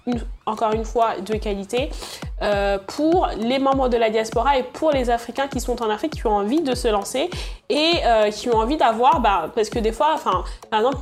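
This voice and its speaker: A young woman from Senegal who grew up in France, speaking as an entrepreneur answering interview questions hesitantly, with many fillers and restarts. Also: a little high. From Senegal, born in Congo, raised in France. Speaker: entrepreneur and channel creator.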